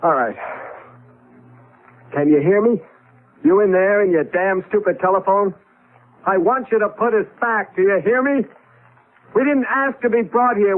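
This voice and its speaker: Actor as a frantic husband on tinny old radio. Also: male voice, sits high, lightly acted. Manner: urgent, insistent, emphatic. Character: desperate, frantic husband trapped in the past. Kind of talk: 1970s radio drama acting.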